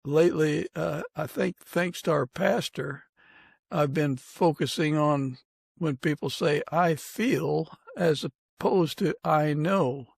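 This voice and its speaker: A man hosting a podcast, interviewing conversationally in deliberate, measured phrases. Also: a little high, gravelly, slightly rough, no room sound, clean studio sound. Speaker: podcast host.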